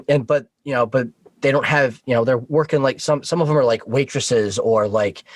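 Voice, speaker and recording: A man's voice: mid-range voice, moderate pace, dry recording. Dry-sounding man in casual interview. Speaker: podcast guest, audio drama creator.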